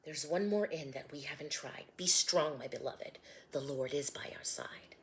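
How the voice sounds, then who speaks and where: A woman voicing a gentle, devoted young husband in a rhyming storybook, speaking soft and low, near a whisper. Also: moderate pace, slight background noise. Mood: reassuring.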